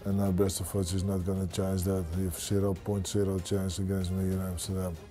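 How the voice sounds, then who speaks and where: A man in his thirties with a Dutch accent, a kickboxer speaking confidently in a pre-fight studio interview, halting with many fillers. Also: sits low, completely flat delivery, mellow, soft background music. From Netherlands. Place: studio with branded backdrop. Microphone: lapel.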